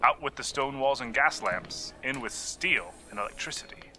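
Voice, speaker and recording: A man playing an urbane, inhuman immortal gentleman agent in an audio drama, dry, wordy and needling. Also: mid-range voice, animated, moderate pace, slight background noise, low-quality recording. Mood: wry, pleased. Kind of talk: scripted audio drama acting.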